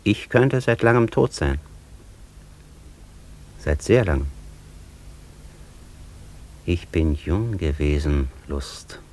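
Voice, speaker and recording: Tiefer, getragen sprechender Dichtermeister. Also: male voice, low voice, animated, darkish tone, full character voice. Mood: weary, grave. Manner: measured, rhapsodic, solemn, with weighty pauses. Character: exalted male master poet-thinker, musing, solemn, imperious. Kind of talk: German radio drama acting, literary declamation.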